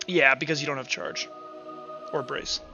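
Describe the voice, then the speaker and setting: A man's voice: mid-range voice, steady tone, moderate pace, gravelly, lo-fi sound. A man casually running a tabletop roleplaying game, rambling through rules and muttering as he counts and looks things up. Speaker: game master. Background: background music.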